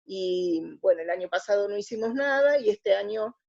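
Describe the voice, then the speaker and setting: Husky, creaky Argentine woman, mellow. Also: medium pitch, animated, normal speed, muffled recording. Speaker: interview guest, radio drama scriptwriter. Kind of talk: casual interview conversation.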